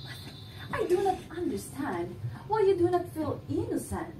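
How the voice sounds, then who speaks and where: Young lady doing confident woman w a dark secret, stage play, really varied pitch, distant echoey mic. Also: big pitch swings, a little slow, exaggerated voice. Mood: sly confiding. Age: young adult. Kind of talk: theatrical stage acting. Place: indoor living-room stage set. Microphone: far camera. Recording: echoey room, distant mic, background noise.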